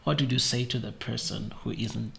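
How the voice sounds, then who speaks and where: A man hosting a podcast, asking slow, thoughtful questions. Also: medium pitch, gravelly, a crowd in the background. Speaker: podcast host. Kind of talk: interview questions.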